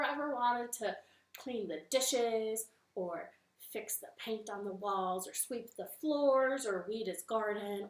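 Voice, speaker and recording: A woman library storyteller reading a children's story at home, animated, with playful asides to her listeners. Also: on the high side, reverberant, low-quality recording. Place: living room at home.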